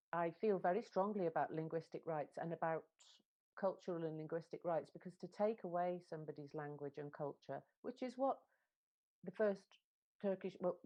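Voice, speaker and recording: A woman's voice: fairly low pitch, muffled recording. A woman from Wales, speaking earnestly and with passion as a translator in a panel discussion, often reworking her phrases. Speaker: literary translator.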